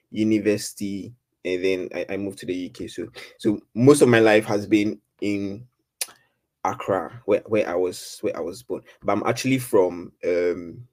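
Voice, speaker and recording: A man's voice: deep voice, darkish tone. A man from Ghana with a Ghanaian accent, a guest in a casual chat who talks hesitantly. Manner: hesitant, with many fillers and restarts.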